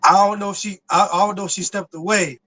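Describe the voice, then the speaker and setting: Slangy, high-voiced man in his thirties. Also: steady tone, quick pace, pushed and bright, dry recording. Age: 30s. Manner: slangy and profane, repeats words, keeps saying yo and son. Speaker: podcast co-host. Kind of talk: casual nostalgic chat.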